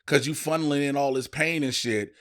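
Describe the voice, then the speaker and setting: A man co-hosting a casual podcast, telling stories in an animated way with profane slang. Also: mid-range voice, fairly quick. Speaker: podcast co-host and stand-up comedian.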